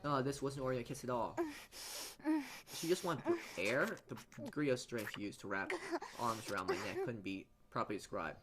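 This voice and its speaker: A man reading a visual novel aloud as dramatic narration, halting often as he stumbles over and repeats words. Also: mid-range voice, background noise. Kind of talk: dramatic read-aloud narration for a let's play.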